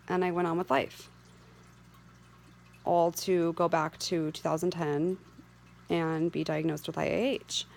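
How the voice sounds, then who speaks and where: A middle-aged woman with a hoarse voice, giving earnest, candid personal testimony to camera as a patient advocate. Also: fairly low pitch, darkish tone. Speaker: patient advocate sharing her medical story.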